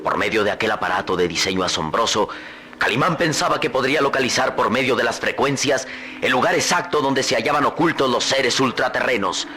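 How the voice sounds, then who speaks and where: A man's voice: medium pitch, fairly quick, bright, forceful tone. A man narrating a vintage radio drama in a dramatic, suspenseful way.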